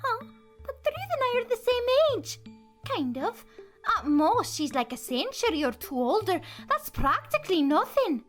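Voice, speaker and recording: A woman's voice: very high-pitched, big pitch swings, measured pace, faint music behind, exaggerated voice. A woman voicing a plucky, cheerful young female godling who talks in an upbeat, earnest, chatty way. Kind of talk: fan audio drama acting.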